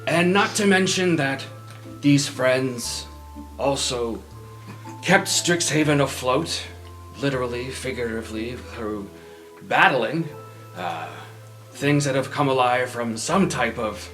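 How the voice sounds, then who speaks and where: A man plays a humble young student making a speech, slow and halting, with many pauses. The voice sits at a middle pitch. Music plays clearly behind him. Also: animated, a bit bright. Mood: modest. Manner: halting, hesitant, pausing with uh. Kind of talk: improvised tabletop roleplay.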